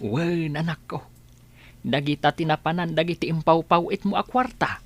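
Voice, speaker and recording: A woman playing a gentle, consoling elderly father in a radio drama, with a husky voice and tender, sighing delivery. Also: low voice, normal speed.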